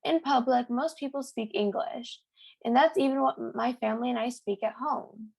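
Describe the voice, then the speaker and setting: A teenage girl co-hosting a youth podcast, reading her script in an earnest, steady way. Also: on the high side, moderate pace, creaky. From United States. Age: teens. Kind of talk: read-aloud podcast script.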